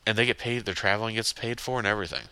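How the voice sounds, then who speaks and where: A man's voice: lowish voice, very fast, sharp tone, clear, resonant tone, low-quality recording. Congested young man ranting on a podcast. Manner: blunt, swearing, rambling. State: congested, stuffy nose. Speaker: podcast host. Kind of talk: casual solo podcast rant.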